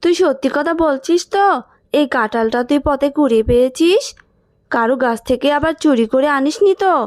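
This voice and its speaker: A woman's voice: high voice, expressive, fairly quick, darkish tone, dry recording, clean studio sound. A woman voicing a protective, scolding mother fox in a children's cartoon, with an anxious motherly manner. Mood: suspicious. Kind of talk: cartoon character acting.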